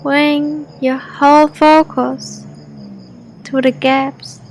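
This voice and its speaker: A woman leading a guided sleep meditation, speaking in short, deliberate, spaced-out phrases with gentle instruction. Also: high-pitched, flat delivery, slight background noise.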